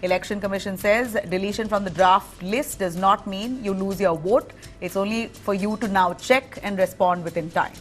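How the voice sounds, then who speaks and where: A woman with an Indian accent reading a live news bulletin as anchor, in a clear, firm delivery. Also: mid-range voice, normal speed, few pauses, faint music behind.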